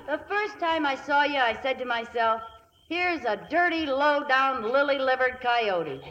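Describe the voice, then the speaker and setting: An actress playing a brash, rough-talking frontier woman with a soft spot, using blunt, folksy western slang in a radio comedy. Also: high-pitched, animated, unhurried. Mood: blunt fondness. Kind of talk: 1950s radio comedy acting. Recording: muffled, noisy 1950s radio broadcast.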